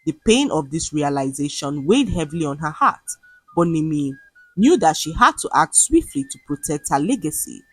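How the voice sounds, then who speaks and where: A woman tells a dramatic story in a fairly low voice with a husky edge. Her scripted narration rises and falls in a very animated way. The recording is dry, with music playing behind her. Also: normal speed, dry recording.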